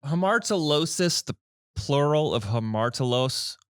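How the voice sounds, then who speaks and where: A playful man in his thirties hosts a relaxed church podcast, his voice bouncing up and down with expression. He jokes and laughs easily, and the sound is dry and close to the mic. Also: on the high side, unhurried, clean tone, dry recording. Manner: playful, jokey, laughs often. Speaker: podcast host. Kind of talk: casual church podcast chat.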